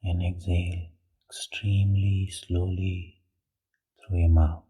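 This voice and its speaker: Man, meditation guide, mellow dark tone, even monotone delivery, hushed, breathy, unhurried short phrases. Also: low-pitched, low-quality recording. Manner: slow, hushed, long pauses between short phrases.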